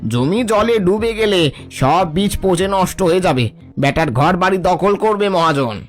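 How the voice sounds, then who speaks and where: An actor playing a greedy, scornful village food hoarder in a cartoon folk tale, with a theatrical delivery. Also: male voice, high-pitched, lively intonation, moderate pace, faint music behind. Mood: scornful, gloating.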